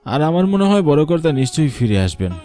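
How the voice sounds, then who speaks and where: A man voicing a farm worker's practical grown son in a cartoon, brisk and plain-spoken, chiding, over music. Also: fairly high pitch, very animated, mellow. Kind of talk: cartoon voice acting.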